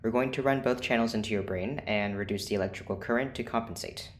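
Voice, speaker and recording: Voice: mid-range voice, fast talker, lightly acted. An actor in an audio drama playing a cool, controlled scientist who speaks formally and precisely. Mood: detached, businesslike. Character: cool, clinical scientist doctor, controlled and persuasive. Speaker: scientist running experiments.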